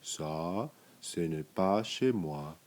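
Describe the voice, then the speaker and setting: A man voicing a big, clumsy, earnest bear in a children's storybook, with emphatic, drawn-out declarations. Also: sits low, lively intonation, darkish tone, full character voice. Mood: dismayed.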